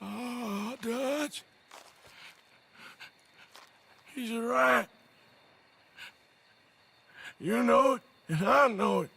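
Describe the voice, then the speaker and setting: An actor playing a sick, weary but defiant American outlaw in a video game, gruff and short of breath. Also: male voice, very high voice, takes it slowly, sharp tone, heavily acted. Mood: spent, pleading. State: ailing, out of breath, coughing. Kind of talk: dramatic video game cutscene acting.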